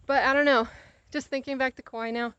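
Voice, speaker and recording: Young female vlogger, slightly high voice, candid and animated storytelling, muffled camera audio. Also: brisk, smooth, dry recording. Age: young adult. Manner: animated, acts out quoted conversations. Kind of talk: casual confessional chat to camera.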